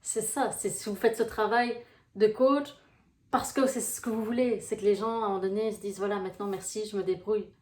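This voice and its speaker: A woman's voice: mid-range voice, steady tone, a little fast, a little mellow. Belgian woman, Brussels accent, life coach; casual, filler-heavy chat, mid-range voice, echoey room. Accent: Brussels Belgian French. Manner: chatty, rambling, full of fillers. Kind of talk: casual talk to camera.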